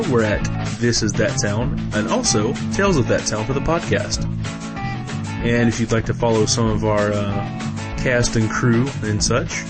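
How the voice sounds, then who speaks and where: Casual man announcer over loud guitar music. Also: medium pitch. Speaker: podcast announcer. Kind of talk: casual credits read.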